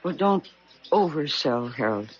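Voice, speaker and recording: A woman's voice: low voice, lively intonation, a little slow, husky, faint music behind, exaggerated voice. An actress playing a determined but conflicted young working woman in a 1970s radio drama, earnest, hesitant and dramatic. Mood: coaxing. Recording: muffled old radio drama.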